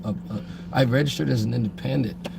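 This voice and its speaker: A man with a cold co-hosting a casual podcast, his voice hoarse and gravelly. He talks haltingly, stumbling and restarting his sentences, over steady background noise. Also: mid-range voice. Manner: halting, many restarts and repeated words. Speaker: podcast co-host. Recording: noisy background.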